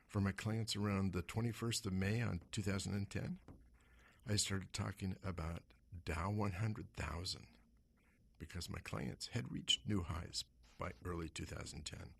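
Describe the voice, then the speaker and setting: A hoarse man hosting a radio money show, asking rhetorical questions with lively pitch swings. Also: moderate pace, slight background noise. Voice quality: husky. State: hoarse from smoke. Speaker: radio show host and investment advisor. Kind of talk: talk radio monologue.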